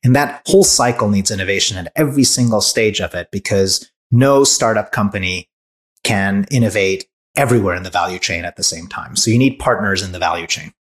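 Man in his forties from Hungary, lowish voice, founder, thoughtful, halting restarts. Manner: thoughtful, many restarts and fillers. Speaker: startup founder. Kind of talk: podcast interview answers.

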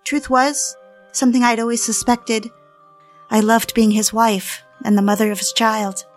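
A woman playing a yearning, submissive wife, narrating a dramatized diary in an intimate, measured way. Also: on the high side, little pitch movement, close to an everyday voice. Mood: resolved. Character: yearning, submissive wife confessing an obsessive marriage. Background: background music.

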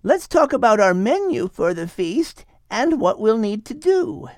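A man's voice: extremely high pitch, very animated, a little slow, no echo, studio quality, voice pushed up, heavily acted. A man voicing a cheerful young woman organizing a party.

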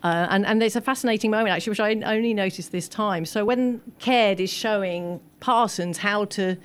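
A woman talks as a film historian at a post-screening discussion. She explains at length in an enthusiastic, conversational way, at an average pitch for a woman. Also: fairly quick, clean tone. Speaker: expert guest, film historian.

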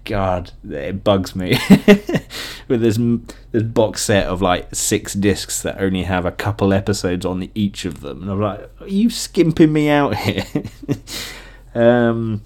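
A man's voice: fairly low pitch, sing-song, slow pace, a little mellow. A British man in his thirties reminiscing in a casual, rambling, chuckling way, like a podcast host talking off the cuff.

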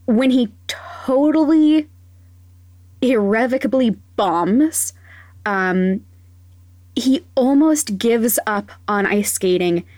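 A woman's voice: a little high, a little mellow, no echo. A woman hosting a podcast segment, chatting enthusiastically and laughing as she gives a recommendation. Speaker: podcast host.

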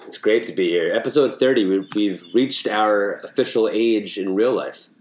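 A man's voice: lowish voice, moderate pace, darkish tone, slight background noise. A man in his thirties, a comedian co-hosting a podcast, chatting playfully and riffing with puns. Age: 30s. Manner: playful, riffs and makes puns. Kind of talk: casual podcast chat. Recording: echoey room.

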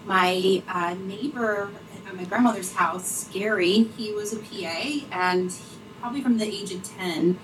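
A woman's voice: medium pitch, unhurried. A woman from North Carolina answering interview questions. She tells her story in a conversational way, using fillers like "you know" and "like". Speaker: guest, program director. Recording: distant mic with faint background noise.

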